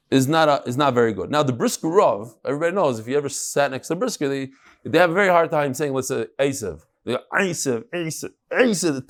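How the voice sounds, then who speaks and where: A man giving an informal Talmud class, conversational and emphatic, mixing in Hebrew terms and rhetorical questions. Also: a little high.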